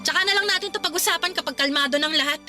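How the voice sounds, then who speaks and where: An actress playing a defiant, bitter pregnant student in a Filipino radio drama, sarcastic and blunt with a Filipino accent. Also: female voice, high-pitched, racing, over music, lightly acted. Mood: stern. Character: defiant pregnant young student, bitter, sharp-tongued girlfriend.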